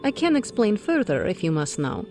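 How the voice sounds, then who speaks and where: A woman playing a composed, wry mutant superhero with a measured manner in a video game drama. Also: mid-range voice, very animated, mellow, soft background music, lightly acted.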